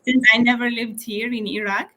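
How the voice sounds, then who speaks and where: A woman's voice: on the high side, fairly even intonation, brisk, pushed and bright, low-quality recording. A young woman raised in Malaysia, talking about her work as an interior architect in a casual interview over a video call, with many fillers and restarts. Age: young adult.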